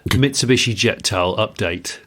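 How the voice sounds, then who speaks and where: A man with a quiet voice, co-hosting a podcast, with dry asides as he reads listener emails aloud. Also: on the low side, moderate pace. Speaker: podcast co-host. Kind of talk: casual chat.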